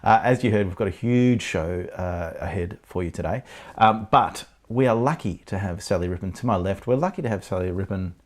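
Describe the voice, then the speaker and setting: A man's voice: sits low, brisk. A man co-hosting a chatty show, joking and laughing a lot. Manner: jokey and upbeat, laughs often. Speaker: co-host. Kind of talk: casual chat.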